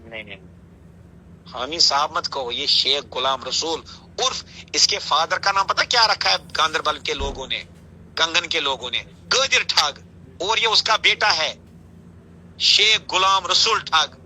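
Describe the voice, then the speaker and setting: Higher-pitched Kashmiri man, very sharp and forceful. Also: a little slow. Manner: emphatic, accusatory, insistent. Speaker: interviewee, public accuser. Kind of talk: heated phone interview. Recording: bright, noisy phone call recording.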